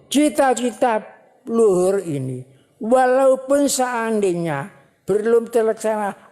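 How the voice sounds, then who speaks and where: A woman religious teacher giving a sermon at a ceremony, speaking slowly and repeating phrases for emphasis. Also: medium pitch, a little mellow. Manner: slow, repetitive, emphatic preaching. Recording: long hall reverb.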